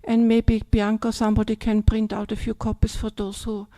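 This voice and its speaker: A woman's voice: mid-range voice, completely flat delivery, fairly quick, warm tone, dry recording, clean studio sound. An older woman, a Buddhist nun from Austria with an Austrian German accent, giving a chatty dharma talk that keeps checking in with "yeah?" and "yes?".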